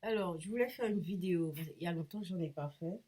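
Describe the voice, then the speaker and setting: A woman's voice: on the low side, fast, warm tone. A woman with a cold talks to her viewers in a rambling, casual way. She hesitates often, restarts her sentences and searches for words. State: has a cold. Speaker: painter and vlogger. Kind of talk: rambling casual talk to camera.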